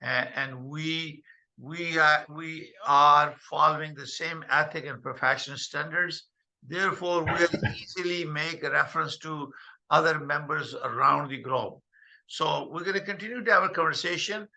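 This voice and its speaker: Gravelly bright-voiced older man hosting a call. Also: on the high side, rough recording. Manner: halting, many fillers. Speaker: host. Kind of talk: video call meeting host. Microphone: video call.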